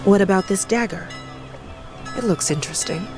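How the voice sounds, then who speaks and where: An actress playing a countess's cold, haughty servant in a medieval video game, blunt and formal. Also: female voice, mid-range voice, moderate pace, music in the background, lightly acted. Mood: cool, haughty. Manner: aloof, formal.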